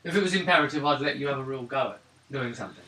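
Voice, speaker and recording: A man acting as game master, improvising the narration in a halting, casual way, with swearing and throat clearing. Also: medium pitch, expressive, crowd noise. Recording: roomy, distant mic, faint noise.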